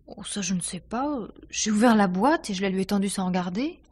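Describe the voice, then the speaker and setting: A theatre actress suspected in a radio murder mystery. Her voice sits at a middle pitch. She answers with self-possession and a dry, sardonic edge, in a theatrical 1950s manner. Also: lively intonation, a little fast, a little mellow, husky. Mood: matter-of-fact. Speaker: radio drama actor.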